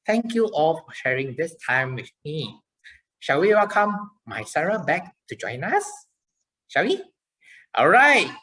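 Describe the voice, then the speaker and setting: Woman doing a friendly eager male puppet mascot for a kids show, slow and super animated, lots of little cheers. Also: low-pitched, heavily acted. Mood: encouraging, cheerful. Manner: enthusiastic short exclamations, gentle prompting. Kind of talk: live children's puppet show.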